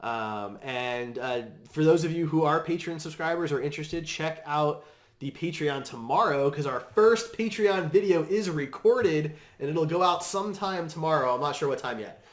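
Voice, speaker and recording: A man's voice: a little high, lively intonation, normal speed. Casual male podcast host, echoey room. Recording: roomy, echoing room sound.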